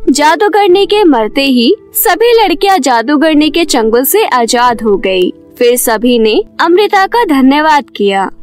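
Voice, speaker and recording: High, animated woman storyteller. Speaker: narrator. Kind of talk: scripted children's fairy-tale narration.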